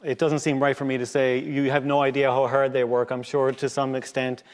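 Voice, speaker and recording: A man introducing hymns at a church service, with a Newfoundland accent and a halting delivery full of pauses. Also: medium pitch, flat delivery. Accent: Newfoundland English. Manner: halting, many filler pauses. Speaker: church music leader introducing singers. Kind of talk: hymn program introductions. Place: church sanctuary. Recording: long church reverb.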